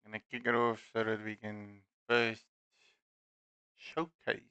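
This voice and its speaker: Middle-aged man, Dutch accent, relaxed sim-racing stream host, bright clear voice, dry, slightly dull headset sound. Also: fairly low pitch, no room sound. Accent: Dutch-accented English. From South Africa. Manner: self-deprecating, unhurried, many fillers. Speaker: stream host and league admin. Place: home room at a desk. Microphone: gaming headset.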